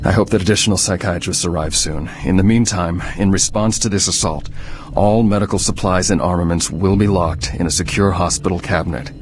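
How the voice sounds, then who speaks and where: A man playing a doctor on a Mars base, dictating a measured, formal, clinical audio log. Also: low voice, faint music behind, natural voice. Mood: grave, reporting. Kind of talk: scripted audio log narration.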